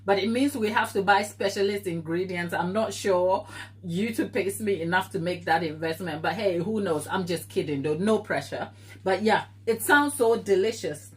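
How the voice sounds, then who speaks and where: A woman's voice: medium pitch, normal speed. A woman from Ghana with a Ghanaian accent tinged by years in England, chatting casually with viewers while she bakes on a livestream and wandering off on tangents. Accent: Ghanaian with English influence. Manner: chatty, tangent-prone, reads and answers viewer comments. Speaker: baking livestream host. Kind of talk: casual live-stream chat while baking.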